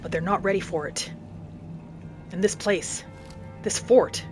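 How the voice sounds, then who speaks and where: A woman's voice: mid-range voice, a little slow. A woman doing in-character roleplay commentary for a game, speaking in an earnest, dramatic way. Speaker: gameplay roleplayer. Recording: game music behind the voice. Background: game music.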